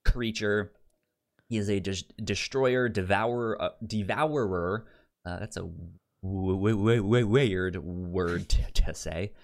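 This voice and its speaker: Stammering man with a lowish voice. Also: slow. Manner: stammering, repeats word starts and syllables; acts out quotes in playful raised voices. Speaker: podcast co-host. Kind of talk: casual story recap and review chat.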